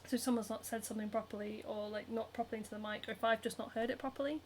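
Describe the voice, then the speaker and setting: A giggly young woman with a Northern English accent chats casually on a livestream, reading out viewer comments between lots of ums and likes. Her voice sits a little high, with a faint hiss behind it. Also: steady tone, rapid-fire. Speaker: cosplayer and livestream host. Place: home, hand sewing while streaming.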